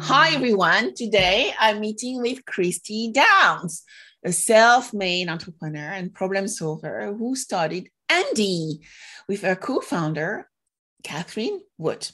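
A woman's voice: medium pitch, sing-song, takes it slowly, sharp tone, soft background music. Woman podcast host introducing a guest. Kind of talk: scripted introduction and interview questions.

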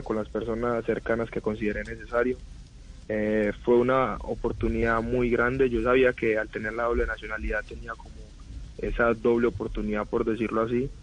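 A young man, giving thoughtful radio interview answers with many pauses. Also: medium pitch, lo-fi sound. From Colombia. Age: 20s. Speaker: footballer guest.

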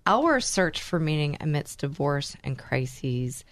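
A woman with a Texan accent hosting a radio talk show, chatty and quick to laugh. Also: low voice, sing-song, no echo. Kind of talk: casual radio chat.

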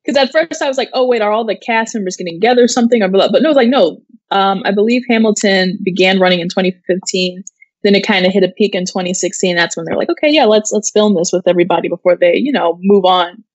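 Female co-host, informal conversational delivery, medium pitch, very dry close sound. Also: fast talker, no echo, highs cut off. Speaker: co-host of an entertainment news show. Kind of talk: casual conversational pop-culture chat.

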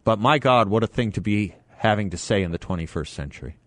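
A man's voice: fairly low pitch, lively intonation, quick pace, dry recording. A man hosting a conversational talk radio interview. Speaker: radio talk show host.

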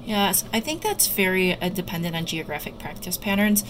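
A woman doctor and researcher giving careful, somewhat halting explanatory answers as an interview guest. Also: on the low side, sing-song, rough recording. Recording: loud background noise.

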